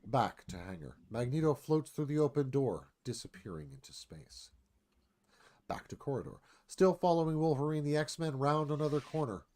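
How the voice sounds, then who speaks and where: Animated man narrating an action screenplay. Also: fairly high pitch, normal speed. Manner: clipped, dramatic phrasing. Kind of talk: dramatic scripted narration.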